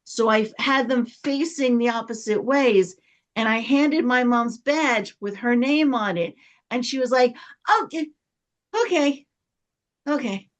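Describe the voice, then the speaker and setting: A woman's voice: fairly high pitch, a little slow. A woman telling a casual anecdote in a chatty way, acting out bits of dialogue as she goes. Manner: chatty, reenacts dialogue when retelling.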